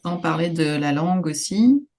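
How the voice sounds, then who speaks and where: A woman host asking friendly, halting questions in a casual interview. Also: fairly low pitch, animated, crowd noise.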